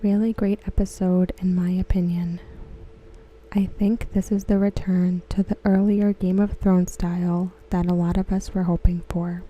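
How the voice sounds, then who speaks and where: Very mellow, soft-spoken ASMR woman. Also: on the low side, little pitch movement, rough recording. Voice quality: soft, breathy, often slipping into whisper. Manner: gentle, hushed, unhurried. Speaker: ASMR host. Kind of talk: ASMR, soft-spoken reading of discussion comments.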